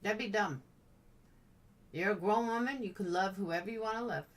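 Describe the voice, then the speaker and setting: A woman's voice: mid-range voice, normal speed, far from the mic. A woman live-streaming in a blunt, chatty, playful way, casually answering comments as they come in.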